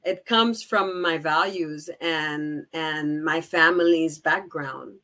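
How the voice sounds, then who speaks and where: Bright-voiced Haitian woman, slow and heartfelt. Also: low-pitched. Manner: heartfelt, deliberate, with light laughs. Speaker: podcast guest. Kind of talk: interview answers.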